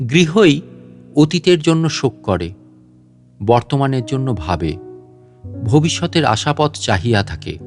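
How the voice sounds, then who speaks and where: A man narrating a literary story in a measured, expressive way, with deliberate pauses between phrases. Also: on the high side. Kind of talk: dramatic literary story narration in first person.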